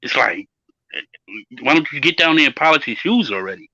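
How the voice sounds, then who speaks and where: A man's voice: fairly high pitch, lively intonation, a little fast, pushed and bright, slightly rough, no room sound. A man who works as a chef, talking emphatically in a casual chat and often repeating words as he starts a thought. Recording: dull, lo-fi audio.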